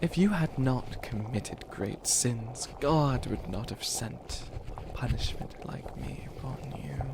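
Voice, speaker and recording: A man's voice: medium pitch, animated, slow. A man playing an evil witch who rehearses grandiose villain monologues with pompous menace, in an ASMR roleplay. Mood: grandiose, menacing. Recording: clear background ambience noise.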